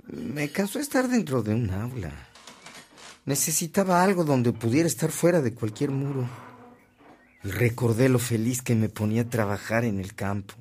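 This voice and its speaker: An actor playing an earnest, respectful young Mexican man, expressive and often soft-spoken, in a radio drama. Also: medium pitch, moderate pace, a little hiss or hum, lightly acted. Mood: earnest, wistful.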